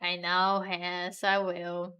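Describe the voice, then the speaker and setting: A young woman from Vietnam with a Vietnamese accent, chatting to viewers in a relaxed, giggly way while she draws on stream. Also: lowish voice, takes it slowly, clean tone. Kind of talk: casual stream chat while drawing.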